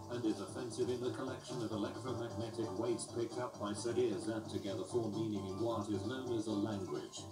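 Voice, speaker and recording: A man reading memes and jokes aloud in a deadpan, mock-formal manner, with loud music playing behind him. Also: on the low side, roomy sound, distant mic, rough recording. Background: loud background music.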